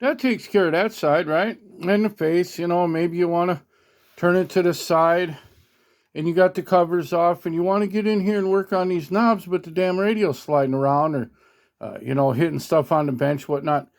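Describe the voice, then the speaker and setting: A man's voice: high-pitched, fairly even intonation, normal speed. A man giving a casual hands-on product demo in a folksy, colloquial, mildly salty way, recorded on a handheld phone at a workbench. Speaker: product reviewer, radio hobbyist. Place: at a workbench indoors.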